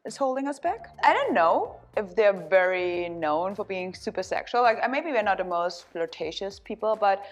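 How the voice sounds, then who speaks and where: A woman's voice: medium pitch, sing-song, normal speed, few pauses. A woman from Germany with a German accent, talking casually in a group chat. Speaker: panel participant. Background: music.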